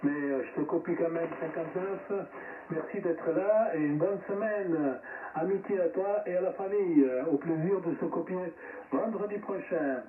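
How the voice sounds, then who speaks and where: Male ham radio net host, friendly, fairly high voice, thin distant radio sound. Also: moderate pace. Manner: friendly, thankful. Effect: amateur radio transmission. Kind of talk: casual ham radio exchange. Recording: narrow-band radio reception, roomy, distant, faint noise. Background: faint radio noise.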